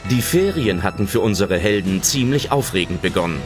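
A man's voice: sits low, expressive, a little fast. A man as the dramatic storyteller of a German children's adventure audio drama, reading scripted narration. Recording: loud music under the narration.

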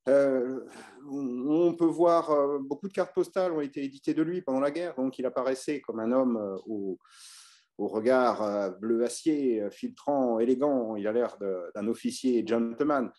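A man gives an evening history lecture, speaking off the cuff in a mellow, darker voice. He hesitates a lot, filling pauses and restarting phrases as he explains. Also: medium pitch. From France. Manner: hesitant, many filler sounds and restarts. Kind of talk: unscripted illustrated history talk.

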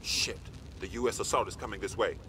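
An actor playing a gruff, weary army sergeant in a video game, curt and commanding with his squad. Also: fairly low pitch, fairly even intonation, brisk, close to an everyday voice. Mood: grim, resigned. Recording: loud battle and vehicle noise behind.

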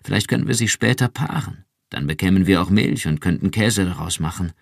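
A man voicing a cautious husband who speaks carefully and quietly pleads. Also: low-pitched, animated, creaky, no room sound, clean studio sound, exaggerated voice. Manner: careful, measured.

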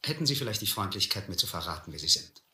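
Vain dangerous island lord, polite, self-important, hard bright tone, lowish, roomy radio play. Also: male voice, very animated, fast talker, full character voice. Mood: smooth, self-important. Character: vain, dangerous male island host with polished manners. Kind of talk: German radio adventure drama acting.

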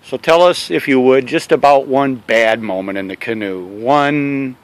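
A man interviewing a canoe racer, building his questions slowly and rambling a little. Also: mid-range voice, smooth, dry recording, poor audio.

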